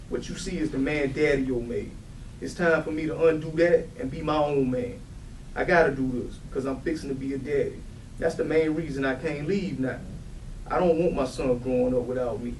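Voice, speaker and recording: An actor in a staged play reading, playing a weary, protective older brother who is quietly resolute and plain-spoken. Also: male voice, on the high side, natural voice. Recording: roomy, distant mic, faint background noise.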